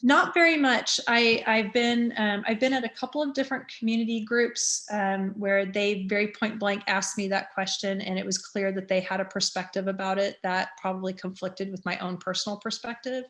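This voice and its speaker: A woman in her fifties, a school superintendent, speaking candidly and earnestly at a community meeting. She often pauses on "um" fillers. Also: medium pitch, steady tone, a bit bright. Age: 50s.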